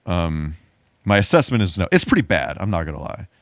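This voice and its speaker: Low-pitched, animated man hosting a podcast. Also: fairly quick, dry recording, studio quality. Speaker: podcast host. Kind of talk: casual podcast chat.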